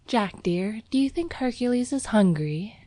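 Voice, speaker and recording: A woman's voice: on the high side, expressive, moderate pace, warm tone, no echo, pitched above the performer's own voice. A woman voicing a tender, anxious young woman in an audiobook story, nervous and pleading. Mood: affectionate. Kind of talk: audiobook character dialogue.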